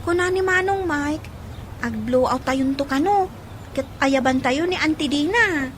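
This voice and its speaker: A woman's voice: sits high, unhurried, a little hiss or hum. A woman playing a cheerful, eager young daughter and little sister with an Ilocano accent in a radio comedy drama. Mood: cheerful, excited.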